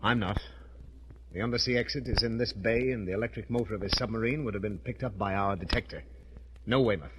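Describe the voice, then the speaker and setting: An actor playing a confident, decisive investigator leading a manhunt in a 1930s radio drama, clipped and authoritative. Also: male voice, fairly low pitch, fast talker, natural voice. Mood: tense, confident. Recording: noisy old radio transcription.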